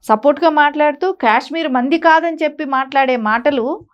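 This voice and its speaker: A woman's voice: high voice, brisk, dull audio. A woman giving opinionated news commentary in an emphatic, insistent way, often using rhetorical questions. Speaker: political commentator on a news talk show.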